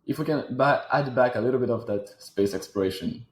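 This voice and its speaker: A man's voice: medium pitch, brisk, reverberant. A man in his thirties from Switzerland, a native French speaker with a French accent, speaking as a guest in a casual interview. He talks thoughtfully and often repeats words while he searches for the next phrase. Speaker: guest, robotics company founder.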